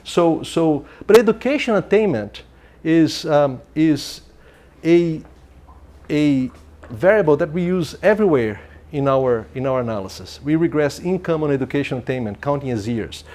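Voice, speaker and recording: A man's voice: fairly high pitch, lively intonation, moderate pace, a little mellow. A man giving an academic lecture in a lecture hall, speaking, often restarting his sentences and checking in with the audience with "okay?". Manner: thinking aloud, frequent restarts and "okay?" checks.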